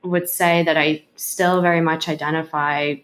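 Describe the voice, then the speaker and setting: A woman from Ukraine, a native Russian speaker, answers interview questions in a low voice. Her delivery is earnest and level, with frequent hesitant pauses mid-thought. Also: flat delivery, a bit bright. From Kharkiv, Ukraine. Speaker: interview guest, healthcare executive.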